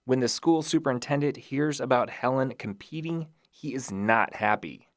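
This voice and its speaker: A man's voice: mid-range voice, normal speed, no room sound, studio quality. A man hosting a history podcast, reading scripted narration with dramatic, playful storytelling. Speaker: podcast host.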